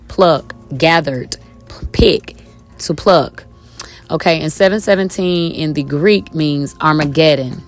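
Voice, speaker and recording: Woman, raspy, a bit hoarse, low-pitched, casual Bible teacher, talks to viewers as y'all, faint music underneath. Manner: talks directly to viewers as y'all, with many um fillers. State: a little hoarse. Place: at home with her children around.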